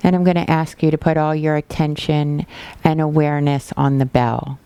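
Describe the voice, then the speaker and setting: A woman teaching a guided body scan meditation, giving gentle, unhurried instructions with pauses. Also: sits low, flat delivery, slight background noise.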